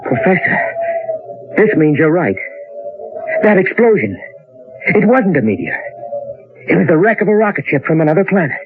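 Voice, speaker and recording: A man's voice: a little high, sing-song, normal speed, long pauses, over music. A man acting as a professor's eager, inquisitive assistant in an old-time radio drama, deferential and full of questions. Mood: amazed. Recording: noisy, tinny old radio recording.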